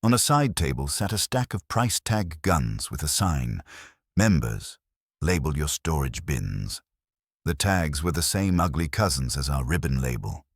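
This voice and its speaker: Very deep-voiced man narrating a detective story. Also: sing-song, normal speed, few pauses, slightly rough, no room sound, clean studio sound. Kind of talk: scripted first-person detective story narration.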